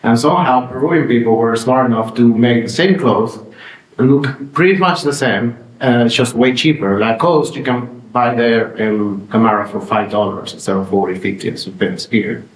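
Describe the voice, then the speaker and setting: A man's voice: medium pitch, normal speed. A man in his forties from Peru, presenting at a conference in a friendly, loose way with frequent fillers. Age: 40s. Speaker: city planning staffer presenting. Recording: echoey room.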